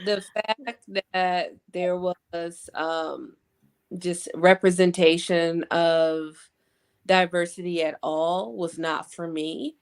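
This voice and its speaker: A woman chatting casually as a panel guest, deliberate and wry in how she talks. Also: fairly low pitch, steady tone, smooth. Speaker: panel guest on a book live show. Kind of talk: casual chat.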